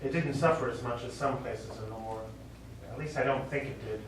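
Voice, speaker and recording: A man's voice: mid-range voice, lightly acted. Man as grieving widower, very echoey and distant. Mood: wistful, hesitant. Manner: halting, subdued, deliberate. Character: reserved, grieving middle-aged American widower, war veteran. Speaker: stage actor. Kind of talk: live stage play dialogue. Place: small indoor theatre studio, recorded from audience distance. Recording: very echoey, distant, noisy stage recording.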